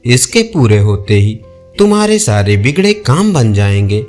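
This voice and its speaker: A man's voice: medium pitch, sing-song, a little slow, a little mellow, soft background music, voice pushed down. A man voicing a kindly, all-knowing old village woman who reassures like a mother in an audio folk tale. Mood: reassuring.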